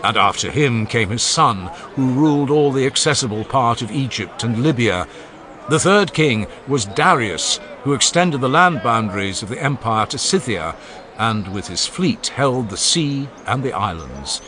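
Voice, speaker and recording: A man's voice: medium pitch, expressive, a little slow, crowd noise. A man narrating a dramatized audiobook, reading a classical funeral oration in a solemn, declaiming, oratorical manner.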